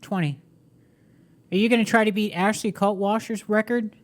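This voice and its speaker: A woman interviewer in a casual chat, teasing and quick with her follow-up questions. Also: mid-range voice, fairly even intonation. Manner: chatty, teasing, asks quick follow-ups.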